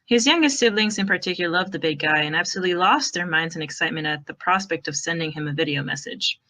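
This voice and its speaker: Bright-voiced woman narrating, slightly low pitch. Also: fast. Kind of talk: storytelling narration of fan fiction.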